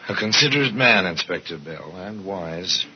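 An actor playing a worldly, sardonic narrator of murder tales in a 1950s radio mystery, with measured, dramatic delivery. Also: male voice, low voice, lively intonation, over loud music. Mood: musing. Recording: muffled, very noisy old radio recording.

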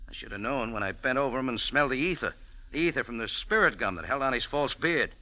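A tough wisecracking hero-narrator; clipped, dry, very lively in pitch. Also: male voice, fast, no echo, poor audio. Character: tough wisecracking male cafe owner, hard-boiled. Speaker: lead and narrator. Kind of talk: 1940s radio acting.

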